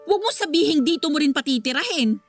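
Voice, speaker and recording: A woman's voice: high voice, expressive, quick pace, soft background music. A woman acting as an indignant, sarcastic and demanding mistress in a Filipino radio drama.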